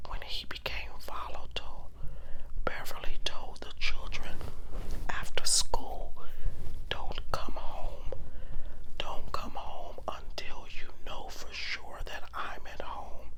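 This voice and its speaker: Female ASMR storyteller: hushed breathy whisper, very unhurried, dramatic pauses between phrases. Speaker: storytelling channel host.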